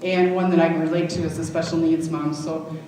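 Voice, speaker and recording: A woman's voice: low-pitched, flat delivery, fairly quick, warm tone, distant mic. Woman, drama director, informal thank-you speech, long hall reverb, faint background noise. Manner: informal, affectionate. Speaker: high school drama director. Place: large indoor hall at a live event. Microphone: distant event microphone.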